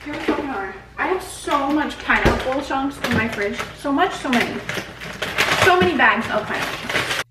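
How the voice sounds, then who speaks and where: A woman's voice: sits high, animated, moderate pace, very noisy. Chatty, swearing woman in her twenties. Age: 20s. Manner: casual, swears often, chatty. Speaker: vlogger. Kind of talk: casual vlog chat. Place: apartment bedroom and kitchen. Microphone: handheld vlog camera.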